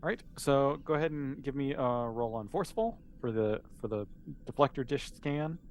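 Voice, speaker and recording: A man speaks as a game master in a mid-pitched voice. He narrates and explains in a loose, patient, conversational way, improvising as he goes. There is some noise on the recording. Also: moderate pace. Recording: clearly noisy background.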